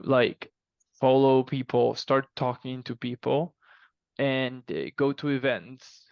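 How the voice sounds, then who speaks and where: A man chatting casually as a podcast guest, explaining things in an animated, emphatic way and often repeating himself. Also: medium pitch, creaky, no room sound, dull audio. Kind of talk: casual conversational interview answers.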